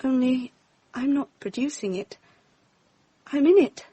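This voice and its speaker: A woman's voice: high-pitched, expressive, a little slow, dark tone, husky, exaggerated voice. An actress playing a flighty, theatrical young society woman with an English accent in a radio drama. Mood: wistful, dramatic.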